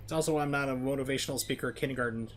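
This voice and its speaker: A male game streamer chats casually in a middle-pitched voice. He wisecracks and rambles over the game, with faint noise in the background. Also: fairly even intonation, racing. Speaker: game livestreamer. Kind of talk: casual, joking play-along commentary.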